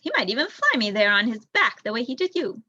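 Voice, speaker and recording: Woman as young boy mouse, bold, eager, insistent, bright storybook voice, dry lo-fi recording. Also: on the high side, very animated, a little fast, dry recording, heavily acted. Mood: determined.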